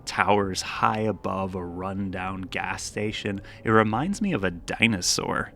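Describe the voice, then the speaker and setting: Low voiced guy doing video essay commentary, kinda dramatic and musing, wry little asides, bit of background noise. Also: fairly bright tone. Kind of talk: video essay commentary, part scripted narration, part casual aside.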